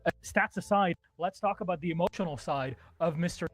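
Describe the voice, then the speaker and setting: Playful male esports host, slightly high. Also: fairly quick. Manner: teasing, playful questions. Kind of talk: live esports broadcast hosting.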